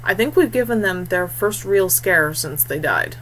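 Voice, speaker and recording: A woman voicing a calm, practical young male warrior with a wry streak, a loyal brother in a fantasy story. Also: on the low side, normal speed, slight background noise, poor audio.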